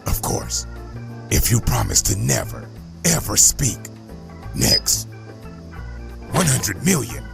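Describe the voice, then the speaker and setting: A man playing a gruff, suspicious robot security chief, curt, officious and sardonic. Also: mid-range voice, big pitch swings, slow, long pauses, a bit bright, soft background music, full character voice.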